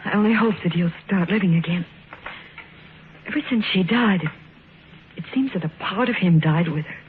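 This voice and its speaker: A woman's voice: on the low side, moderate pace, a little hiss or hum, close to an everyday voice. Woman acting worried young daughter, emotional melodrama, thin tinny vintage radio sound. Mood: sad. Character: anxious grieving young woman, devoted daughter and fiancée. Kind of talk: 1950s radio drama acting.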